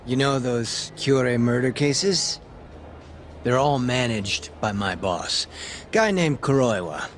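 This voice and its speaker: An actor playing a smug, corrupt police detective who sells information, breezy and teasing, in an English video game dub. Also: male voice, medium pitch, expressive, takes it slowly, soft background music. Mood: sly, conspiratorial. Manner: breezy, cocky, wry, teasing.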